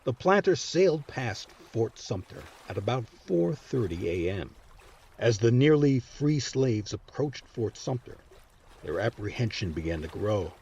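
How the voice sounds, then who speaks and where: A man's voice: medium pitch, very animated, unhurried, a little mellow, husky. A man narrating history in a scripted documentary style. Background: background music.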